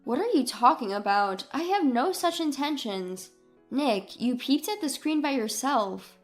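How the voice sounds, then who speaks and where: An earnest, sensitive wife acted by a woman in a narrated story. Her voice is high and sincere, over soft background music. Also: lively intonation, unhurried. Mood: hurt, protesting. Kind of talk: dramatised story dialogue.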